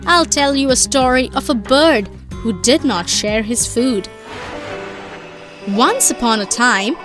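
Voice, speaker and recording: Brief: patient cartoon mom, high animated voice, slow instructive storytelling delivery for small children, music underneath. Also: female voice, full character voice. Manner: deliberate, instructive. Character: patient mother telling moral stories to her children.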